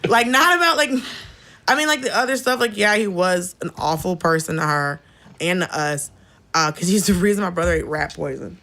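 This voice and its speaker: A woman's voice: fairly low pitch, lively intonation, normal speed, sharp tone. An American woman in her thirties from Atlanta, chatting casually as a podcast guest, blunt and sweary, telling personal stories and acting out the dialogue. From Atlanta, southern United States. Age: 30s. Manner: candid, blunt, swears freely, acts out quoted dialogue. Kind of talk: casual chat, personal storytelling.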